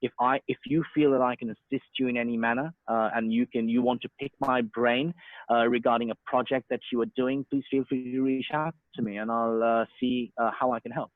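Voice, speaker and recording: A man's voice: medium pitch, steady tone, a little fast, no room sound. Indian-born man with an Australian accent on a tinny call line. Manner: talkative, hesitant with many fillers and restarts. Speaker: interview guest, sales and marketing professional. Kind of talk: casual online interview. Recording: tinny phone-like call audio, dry.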